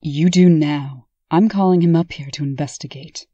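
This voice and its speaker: A stern princess in charge, played by a woman in a comic dub. Her voice is dark and firm, with a very dry sound. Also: low-pitched, normal speed, dry recording, muffled recording. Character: stern, authoritative princess investigator. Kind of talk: comic dub character acting.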